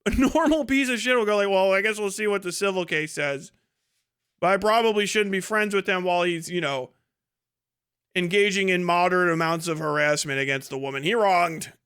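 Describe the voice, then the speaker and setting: High-pitched, bright-voiced man ranting profanely. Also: fairly quick, smooth. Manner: exasperated, scornful, heavy swearing. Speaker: livestream host reacting to a debate. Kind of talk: casual profane commentary.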